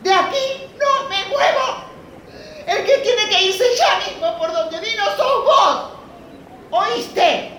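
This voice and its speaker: An actress with an Argentine accent playing a defiant, indignant middle-aged housewife in a radio drama. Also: female voice, very high-pitched, slow, fairly bright tone, exaggerated voice. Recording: roomy echo, light background noise.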